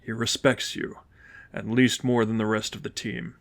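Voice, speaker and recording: A man voicing a stern, commanding father and vigilante leader, terse and low-voiced, in a storybook reading. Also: flat delivery, normal speed, close to an everyday voice. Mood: hushed, serious.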